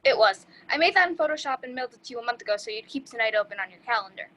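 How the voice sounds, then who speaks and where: A woman's voice: fairly high pitch, lively intonation, racing, a bit bright, rough recording. A woman reading the part of an earnest, geeky young male party host at an amateur table read. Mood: matter-of-fact.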